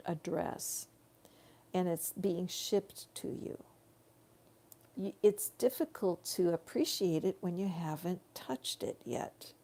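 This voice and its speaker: A woman giving a live tarot reading in slow, soothing spiritual guidance, her voice growing tired. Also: on the low side, mellow. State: tiring voice. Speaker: tarot reader.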